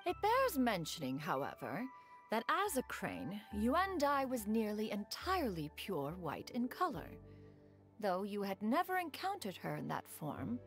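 An ancient crane adeptus in a woman's form for a video game. She is proud yet tender, with measured, archaic, very formal phrasing. Music plays behind her. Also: mid-range voice, huge pitch swings. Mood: tender, wistful. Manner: formal, archaic, calls herself "one", measured. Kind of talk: scripted video game dialogue.

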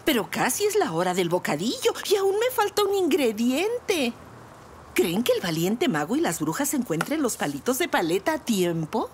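A woman voicing a kindly, encouraging zebra grandmother in a children's cartoon, gentle and expressive. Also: a little high, normal speed, slight background noise.

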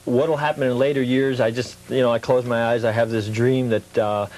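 A middle-aged man giving casual interview answers as a hobby collector, explaining earnestly and haltingly. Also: medium pitch, steady tone, a little mellow, low-quality recording. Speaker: interview guest, model train collector.

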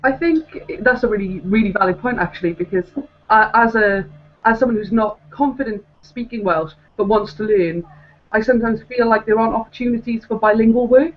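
A woman's voice: a little high, brisk. A young woman, a theatre maker and blogger, speaking thoughtfully and articulately in a casual panel discussion over a video call from a room with bookshelves. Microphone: laptop webcam video call. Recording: muffled video call audio.

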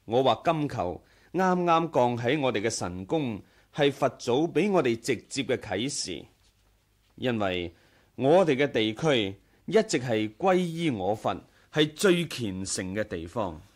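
An actor with a Hong Kong Cantonese accent playing a dignified, devout Buddhist leader in a measured, formal 1980s radio drama style. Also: male voice, mid-range voice, big pitch swings. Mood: earnest, devout.

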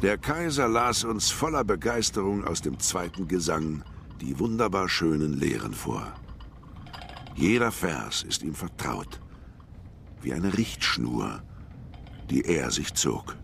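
Deep, creaky court diarist. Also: male voice, sing-song, slow pace, a bit bright, a little hiss or hum, exaggerated voice. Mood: admiring recollection. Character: admiring deep-voiced court diarist, narrating. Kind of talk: scripted memoir narration in radio drama.

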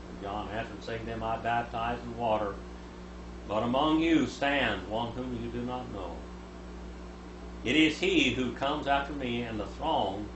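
Man in his seventies, Bible teacher, echoey small room, steady hum in the background. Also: fairly low pitch. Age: 70s. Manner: reads scripture aloud, rising loud for quoted speakers.

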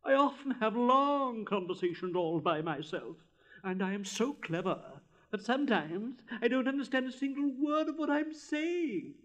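A man's voice: very high voice, expressive, moderate pace, a bit bright, pitched above the performer's own voice. A man voicing a vain, pompous male firework rocket in a storybook reading, drawing out his words with haughty self-importance. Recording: dull lo-fi recording.

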